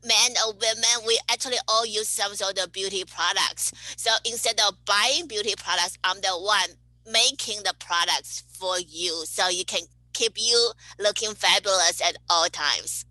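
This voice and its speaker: A woman's voice: medium pitch, moderate pace, pushed and bright, no room sound, low-quality recording. A woman from Taiwan, a podcast guest answering questions cheerfully. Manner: cheerful, laughs between answers. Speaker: guest, cosmetic chemist.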